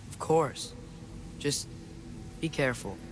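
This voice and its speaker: An earnest teenage half-giant in a fantasy game. His voice sits a little high for a male and he speaks in a natural, guarded way. Soft music is faint behind him. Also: expressive, slow. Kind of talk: naturalistic video game acting.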